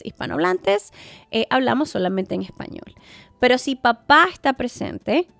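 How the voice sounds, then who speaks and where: A woman's voice: a little high, no echo. A woman hosts a podcast, talking casually and earnestly to listeners and giving parents advice with many asides. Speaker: podcast host.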